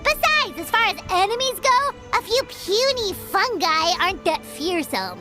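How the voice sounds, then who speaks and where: Very squeaky, feisty little companion. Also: female voice, sing-song, unhurried, exaggerated voice. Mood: defiant, mocking. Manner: exclamatory, cheeky. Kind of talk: scripted video game voice acting. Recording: loud game music behind the voice.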